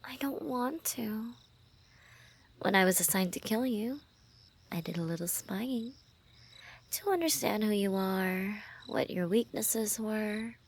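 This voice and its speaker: Woman performing a female assassin, infatuated, quietly menacing, teasing, half-whispered, vocal fry, intimate ASMR roleplay. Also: mid-range voice, slow pace, long pauses, slight background noise. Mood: tender, infatuated. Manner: hushed, often dropping into whispers, teasing.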